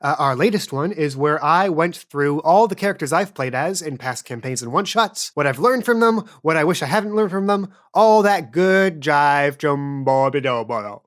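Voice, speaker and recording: Playful podcast game master, mid-pitched voice. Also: expressive, normal speed, few pauses. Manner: playful, jokey. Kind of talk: scripted-casual announcement and game narration.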